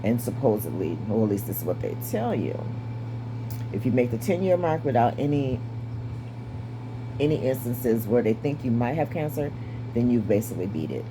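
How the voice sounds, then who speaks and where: Raspy, breathless woman in her fifties. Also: very deep voice, big pitch swings, normal speed, background noise. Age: 50s. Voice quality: raspy, strained voice. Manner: candid, wry, stays positive. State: short of breath. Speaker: vlogger. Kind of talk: casual personal update to camera.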